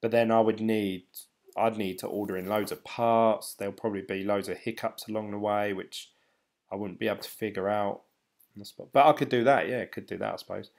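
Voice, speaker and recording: A British man in his thirties hosts a late-night live stream, talking loosely with plenty of ums and half-started sentences. His voice sits fairly low and sounds tired and slightly croaky and dry. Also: steady tone, brisk. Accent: British English. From United Kingdom. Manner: rambling, hesitant, many ums and restarts. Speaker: crypto channel host reading live chat.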